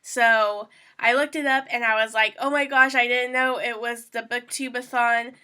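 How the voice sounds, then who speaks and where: Young woman host, congested and sniffly, bright higher voice, rambling casual chat. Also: steady tone, normal speed, clear, resonant tone. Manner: rambling, chatty, many fillers. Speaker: booktuber host.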